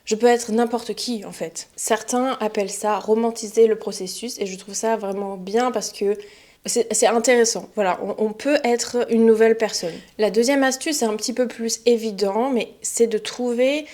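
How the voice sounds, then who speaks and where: A young woman, a French teacher, giving a relaxed spoken lesson to learners, chatty and often pausing with fillers and restarts. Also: on the high side. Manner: chatty, many fillers and self-corrections.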